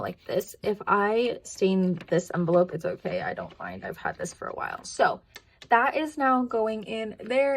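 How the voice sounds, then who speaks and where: A woman vlogger talking casually and thinking aloud as she works, her voice croaky and tired from long talking. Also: medium pitch. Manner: rambling, thinking aloud, counting to herself. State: voice tiring after long filming. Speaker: budgeting vlogger. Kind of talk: casual chatty hands-on narration.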